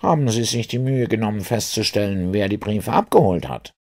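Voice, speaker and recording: A matter-of-fact, sceptical police inspector in an audiobook, played by a male reader. The voice is lifted above the narrator's and swings in pitch, with an insistent, questioning manner. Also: animated, a little fast, creaky, darkish tone. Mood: puzzled, probing.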